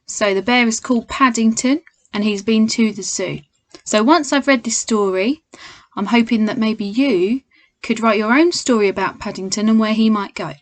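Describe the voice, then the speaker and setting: A woman with a slightly high voice reads a storybook to young children. She is expressive and speaks straight to her listeners, like a primary school teacher. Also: normal speed, dry recording. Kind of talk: children's storybook read-aloud.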